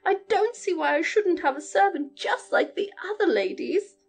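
A woman voicing a loud, bossy giantess who is lonely and overworked, in a storybook reading. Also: very high voice, lively intonation, normal speed, voice pushed up. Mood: domineering. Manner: loud, domineering.

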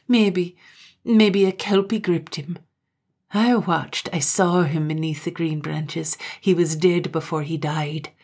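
A woman reading a story aloud, voicing a murderous, possessive Highland man who talks in a forceful, menacing dialect. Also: slightly rough, heavily acted. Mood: defensive.

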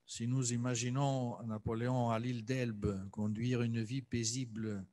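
A man's voice: mid-range voice, fairly even intonation, a little slow, warm tone. A man moderating a cultural talk off the cuff, often searching for his words. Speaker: event host, moderator. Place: salon with piano, indoors.

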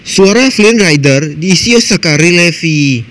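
A man's voice: a little high, expressive, normal speed, noisy background. A man with an Indonesian accent reading scripted narration for a video.

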